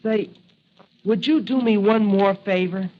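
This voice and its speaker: A man's voice: sits high, unhurried. A male actor playing an earnest, admiring young boy in a 1940s radio serial on an old recording. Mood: awed, pleading. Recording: muffled, noisy old radio broadcast.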